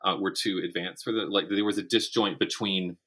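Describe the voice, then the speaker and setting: A man's voice: sits low, fairly even intonation, fairly quick, a bit bright, husky. A man talking casually as an interview guest, a writer who tells his stories by acting out imagined remarks. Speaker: guest author and playwright. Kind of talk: casual interview chat about writing.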